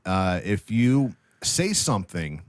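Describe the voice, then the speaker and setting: A man's voice: low-pitched, sing-song, takes it slowly, no room sound. A man in casual podcast conversation, explaining things emphatically and repeating himself for effect. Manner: explanatory, emphatic repetition, profane. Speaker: podcast guest with radio background.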